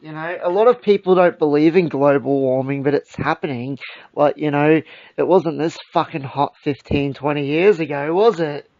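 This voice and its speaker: A young Australian man with a croaky voice, hosting a casual podcast chat, rambling and swearing with lots of fillers. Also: a little high, normal speed, a little mellow, no echo. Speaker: podcast host. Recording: dull lo-fi sound.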